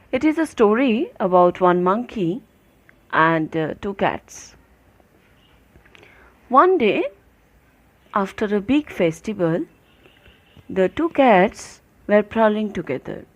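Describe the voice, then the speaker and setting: A woman teacher telling a moral story to young children in simple, repetitive phrases, putting questions to them. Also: medium pitch.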